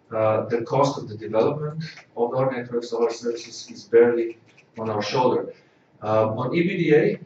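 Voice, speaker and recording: A man from Slovenia giving a corporate results presentation, measured and full of filler "uh"s. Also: mid-range voice, a little mellow. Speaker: company executive presenting results. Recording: echoey hall, distant dull mic, faint noise.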